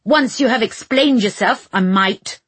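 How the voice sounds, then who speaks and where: A woman's voice: fairly high pitch, measured pace, slightly rough, no echo. A woman voicing a pompous, violent nobleman in an audiobook, haughty and commanding with archaic insults. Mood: pompous, angry.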